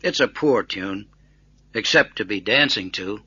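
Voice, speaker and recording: A man voicing a kindly, self-effacing old grandfather in a storybook, with gentle, folksy, reassuring phrasing. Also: on the low side, lively intonation, a little slow, breathy edge, no room sound, lo-fi sound, voice pushed down, exaggerated voice. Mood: putting on cheer.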